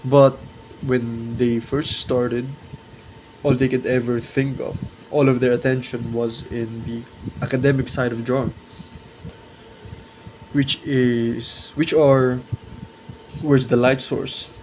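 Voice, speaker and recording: A twenty-year-old man talking casually and reflectively to his viewers in a vlog, halting and correcting himself as he searches for words. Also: mid-range voice, flat delivery, darkish tone, a little hiss or hum, poor audio. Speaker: art video creator.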